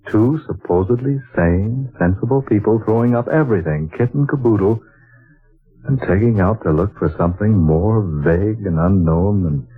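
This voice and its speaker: A man's voice: low-pitched, breathy edge. An actor playing an earnest male writer who narrates his journey, in 1940s radio drama style. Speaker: narrator and lead character. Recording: tinny lo-fi old radio broadcast with music.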